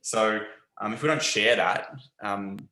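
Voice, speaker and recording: A man's voice: fairly low pitch, a little slow, bright, forceful tone. A man with an Australian accent leading a design studio, speaking in a hesitant panel chat with repeated words. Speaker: agency leader, panelist. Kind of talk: conversational panel talk. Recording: echoey room.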